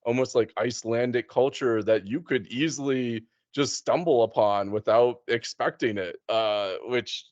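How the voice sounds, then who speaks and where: A man with a medium-pitched voice chats casually about films. He muses tentatively and rewords himself as he goes. The sound is very dry, with a slightly dull lo-fi tone. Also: unhurried, hardly any pauses, a little mellow, no echo.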